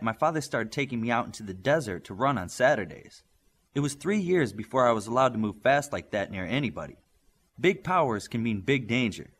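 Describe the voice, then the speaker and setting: A man's voice: medium pitch, a bit bright. A man voicing a young speedster boy in a superhero audiobook, encouraging, matter-of-fact and explanatory. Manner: reassuring, explanatory.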